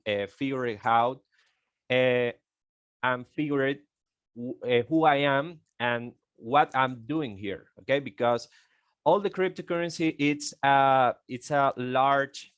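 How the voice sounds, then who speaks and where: A man in his thirties from Venezuela with a Venezuelan Spanish accent, a native Spanish speaker presenting on an online call, halting and tagging "okay?" often. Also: mid-range voice, fairly bright tone, clean tone, dry recording. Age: 30s. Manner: halting, repeats words, frequent "okay?" tags.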